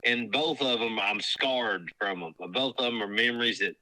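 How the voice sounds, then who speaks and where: A man's voice: mid-range voice, normal speed, bright, forceful tone, rough recording. A man, co-hosting a podcast and joking in a playfully dramatic way. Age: 20s. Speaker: podcast co-host. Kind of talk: casual chat.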